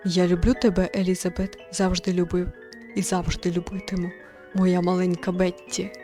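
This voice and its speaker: A woman's voice: on the low side, flat delivery, normal speed, dark tone, faint music behind, close to an everyday voice. Woman reading as a tender, regretful grieving father. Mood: loving, sad. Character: grieving father, gentle, apologetic adult man. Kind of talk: visual novel read-aloud.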